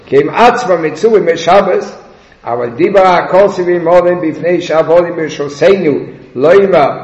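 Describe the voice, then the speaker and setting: A man leading a religious text study discussion, repeating words in stammering bursts and reciting passages rapidly. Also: a little high, darkish tone. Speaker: study session leader. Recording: roomy, dull recording with people around.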